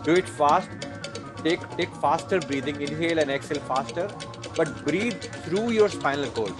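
A man's voice: fairly high pitch, expressive, moderate pace, music in the background. A man giving guided breathing meditation instructions, repeating short phrases as he goes.

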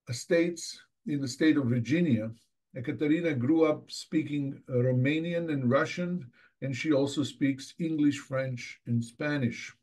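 A man's voice: medium pitch, darkish tone. A middle-aged man reading a formal, scripted introduction as moderator of an online seminar. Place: indoors, office, on a video call.

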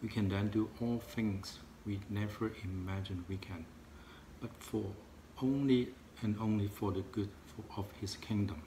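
A man's voice: on the low side, steady tone, mellow, slight background noise. A man in his forties whose first language is Cantonese, giving a simple, earnest Bible lesson for children. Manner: earnest, simple and slow-paced. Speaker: Sunday school teacher. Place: outdoors in a park and a living room.

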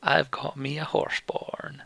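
A man's voice: on the high side, level tone, a little slow, gravelly, sharp tone, no echo. A man doing let's play commentary on a game, reading text aloud and talking through choices.

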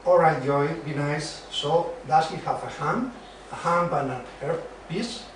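Distant echoey recording, man as wry male friend, deadpan, slightly high voice. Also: measured pace, lightly acted. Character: wry, joking young male friend, Chandler. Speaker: student in an amateur dramatized reading. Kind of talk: amateur dramatized sitcom reading. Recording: distant, very echoey room, faint noise.

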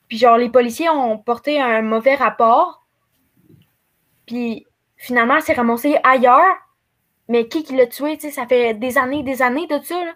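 A woman's voice: high voice, fairly bright tone. A young adult woman with a Québécois French accent, talking casually on a video call from home. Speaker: talk show panelist. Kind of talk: casual chat. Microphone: computer mic on a video call.

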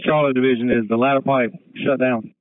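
A man serving as fire incident commander, speaking in measured, procedural radio traffic over a two-way radio. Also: medium pitch, steady tone. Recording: narrow tinny radio channel with noise and clicks.

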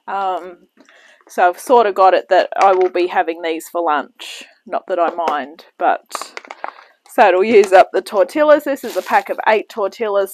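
A woman's voice: on the low side, a little hiss or hum. A woman chatting casually as she cooks in her home kitchen and hosts her own cooking channel. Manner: chatty, talks while working in the kitchen.

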